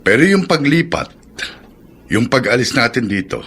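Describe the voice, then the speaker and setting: A man playing an earnest middle-aged father and dutiful son, speaking in a measured way in a Filipino audio drama. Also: mid-range voice, sing-song, fairly bright tone, breathy edge, exaggerated voice. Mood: serious. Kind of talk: Filipino radio-style drama acting. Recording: music under the voice.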